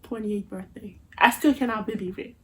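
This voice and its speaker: A woman's voice: medium pitch, moderate pace. A woman in her twenties with a Haitian Creole accent, talking casually to her viewers in a chatty, playful vlog, recorded on a phone. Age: 20s. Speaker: vlogger. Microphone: phone camera.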